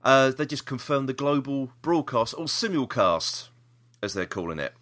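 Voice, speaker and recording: A man's voice: medium pitch, big pitch swings, moderate pace, fairly bright tone. A British man hosting a podcast, chatting casually about the news in a rambling, opinionated way. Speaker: podcast host.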